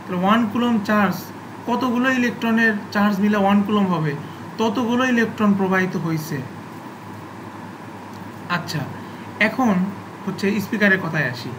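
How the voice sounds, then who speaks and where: Male informal tech tutor, halting and repetitive for emphasis, unscripted, clearly noisy recording. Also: high voice. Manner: halting, often repeats phrases for emphasis. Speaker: explainer of physics and electronics. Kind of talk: informal unscripted technical explanation. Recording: clearly noisy, constant background hum.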